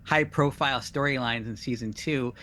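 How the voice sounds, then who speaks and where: A man's voice: mid-range voice, normal speed, pushed and bright. A man asking an interview question, enthusiastic and emphatic. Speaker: entertainment journalist. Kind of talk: press junket question.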